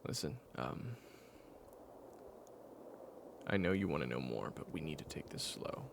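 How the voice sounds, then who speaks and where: A man playing a weary, patient caretaker in an intimate audio roleplay, speaking in a hushed, hesitant way. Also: expressive, a little fast, noisy background, full character voice. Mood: patient, reassuring. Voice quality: very low gravelly voice. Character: weary, patient man caring for an amnesiac companion, retelling their past.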